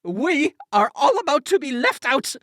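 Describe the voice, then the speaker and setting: A woman's voice: high-pitched, big pitch swings, unhurried, a bit bright, heavily acted. A woman playing a fretful, exasperated and melodramatic messenger of fate in a comic audio drama, theatrical in delivery. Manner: theatrical, swooping.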